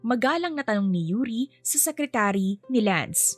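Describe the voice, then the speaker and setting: A woman's voice: fairly high pitch, animated, normal speed. A woman storyteller reading scripted fiction narration. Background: music.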